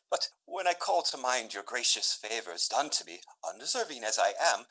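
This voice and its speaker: A scheming young gentleman, acted by a man, speaks with very lively, swooping intonation. He is theatrical and deferential, feigning loyalty in a Shakespearean radio play. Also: mid-range voice, poor audio.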